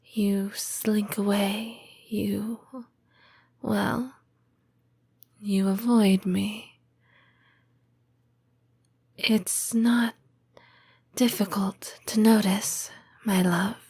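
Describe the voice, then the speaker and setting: Lamia girlfriend, female actress, ASMR roleplay: tender and lonely, hushed breathy whisper, drawn-out hesitant pacing. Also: medium pitch, steady tone, full character voice. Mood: wistful, hurt. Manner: slow, hesitant, intimate delivery with long pauses. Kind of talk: soft-spoken ASMR roleplay.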